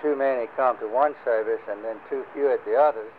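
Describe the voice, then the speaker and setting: An older man leading a church group, talking casually with many pauses and fillers. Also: mid-range voice. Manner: rambling, many fillers and restarts. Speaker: church class leader. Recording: lo-fi phone-like recording with faint hiss.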